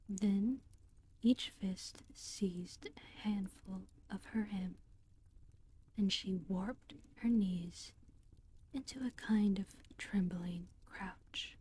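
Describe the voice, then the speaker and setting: Very slow whispering woman storyteller. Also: mid-range voice, a little hiss or hum. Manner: soft steady whisper. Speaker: audiobook reader.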